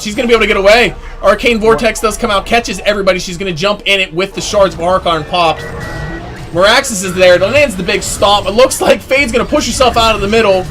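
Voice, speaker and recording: A man giving live play-by-play commentary on an online team game, excitable and chatty, his voice jumping up during fights. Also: high voice. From United States. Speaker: esports play-by-play caster.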